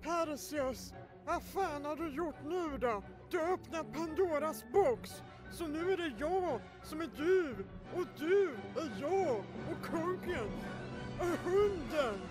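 Woman as a high-voiced, cheeky cartoon companion. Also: expressive, music in the background. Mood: scolding. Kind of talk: amateur cartoon fan dub.

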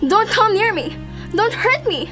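A woman's voice: very high voice, big pitch swings, measured pace, music in the background, heavily acted. A woman playing a frightened, newly jailed young woman, anxious and pleading, in an amateur radio drama.

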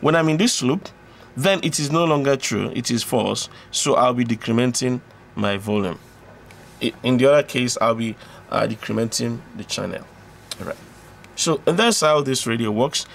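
A man presenting an electronics tutorial, explaining step by step in an informal, halting way with repetitions and self-corrections. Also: mid-range voice, gravelly, a little hiss or hum.

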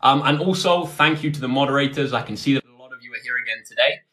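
A British man in his twenties speaks at a medium pitch. He sounds like a tutor running a casual live session, chatty and informal, addressing his viewers directly as he takes their questions. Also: fast talker, bright, forceful tone, poor audio. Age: 20s. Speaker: maths tutor hosting a livestream. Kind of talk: casual livestream chat, answering viewer questions. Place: indoors, close to a phone on a tripod.

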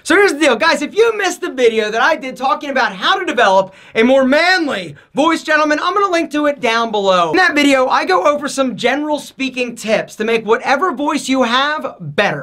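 A man in his forties with a high voice, giving emphatic style advice straight to camera in a studio. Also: a bit bright. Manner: emphatic, gesturing, addresses viewers as guys. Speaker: men's style vlogger. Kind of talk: energetic direct-to-camera advice. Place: studio against a dark backdrop.

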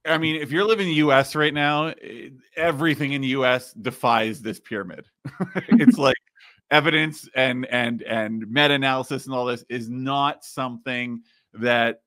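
Slightly raspy man hosting a podcast. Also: medium pitch, moderate pace, sharp tone, dry recording. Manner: explains while screen-sharing, many fillers. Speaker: podcast host. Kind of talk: casual podcast chat. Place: home studio with neon sign.

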